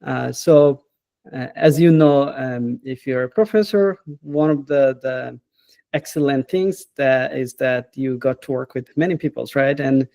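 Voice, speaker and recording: A man, a professor talking as an interview guest in an unhurried, hesitant way and often adding "right?". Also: mid-range voice, creaky, mellow, dry recording. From Bangladesh. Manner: unhurried, hesitant, with frequent "uh" and tag questions like "right?". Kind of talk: casual interview.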